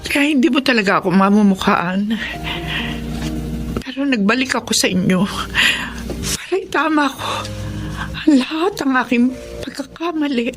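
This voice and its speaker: A woman's voice: on the high side, natural voice. A Filipino woman playing a remorseful middle-aged mother in an emotional, pleading radio drama style. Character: remorseful long-absent mother begging her children's forgiveness. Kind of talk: Tagalog radio drama acting. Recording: music under the voice.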